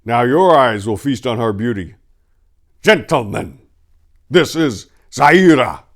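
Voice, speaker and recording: A commanding fantasy warlord, acted by a man reading a story proclaims to his lords. The voice is loud and pushed up high, with grand, proud, declamatory delivery. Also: lively intonation, takes it slowly.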